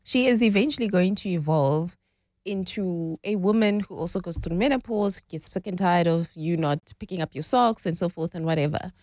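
A woman's voice: lowish voice, normal speed, darkish tone, dry recording, studio quality. A woman in her forties, explaining relationship dynamics as a guest in a conversational interview, often checking in with "right?". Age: 40s.

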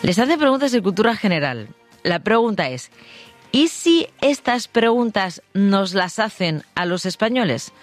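Presentadora de radio castellana, con música de fondo. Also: female voice, medium pitch, big pitch swings, normal speed. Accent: Castilian Spanish. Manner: addresses listeners directly, emphatic repetition. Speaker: radio show host. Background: background music.

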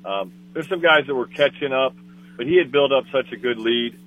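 Male co-host calling in on a tinny phone line, halting, repetitive speech. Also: mid-range voice, a little hiss or hum. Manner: halting, repeats words, many fillers. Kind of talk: casual motorsport chat.

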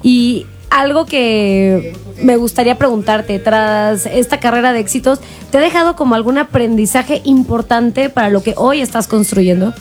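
Higher-voiced woman host, friendly. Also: moderate pace, darkish tone, faint music behind. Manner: friendly interviewer asking questions. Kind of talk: casual interview chat.

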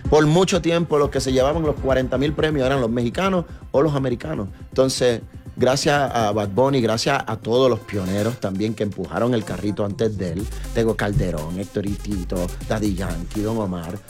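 A man's voice: fairly low pitch, moderate pace, darkish tone, faint music behind. A middle-aged man from New York, a reggaeton artist talking casually as an interview guest, easygoing and full of fillers. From New York, United States. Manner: easygoing, storytelling, many fillers.

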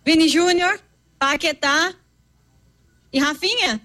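A woman's voice: very high voice, slow pace, bright, forceful tone. A woman from Brazil with a southern gaúcho accent, answering a reporter's questions outdoors in a cheerful, confident way. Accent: Brazilian Portuguese, southern (gaúcho). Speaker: fan interviewed. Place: outdoors outside a stadium.

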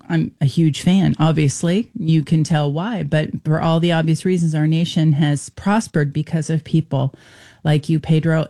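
A man hosting a radio show, lively and effusive as he talks with a guest. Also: a little high. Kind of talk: casual radio interview.

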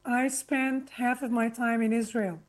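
High-voiced, articulate woman from Lebanon. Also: little pitch movement, measured pace. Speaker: interview guest, activist speaker. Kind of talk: personal account in an interview.

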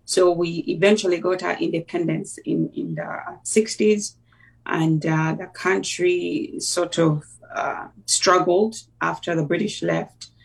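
A middle-aged woman with a Ugandan accent, recalling her life conversationally as an interview guest, often pausing mid-sentence. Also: on the low side, fairly even intonation, vocal fry, breathy edge, far from the mic. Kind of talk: conversational personal recollection.

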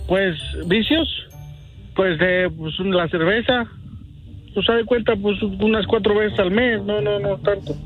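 A man's voice: high-pitched, fairly even intonation, a little slow, soft background music. A man answering questions on a phone call, hesitant and full of fillers, keeping his answers short. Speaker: prank call victim.

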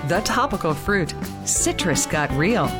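A woman's voice: lowish voice, very animated, measured pace. Breezy woman promo voice over guitar. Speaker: podcast promo announcer. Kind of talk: scripted promo read. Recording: guitar music bed under voice.

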